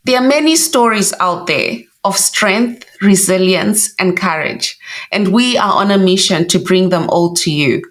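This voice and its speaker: A woman's voice: medium pitch, measured pace, soft background music. A woman co-hosting a podcast, reading the show intro and asking questions in a friendly, enthusiastic way. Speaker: podcast co-host. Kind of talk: scripted podcast intro and casual interviewing.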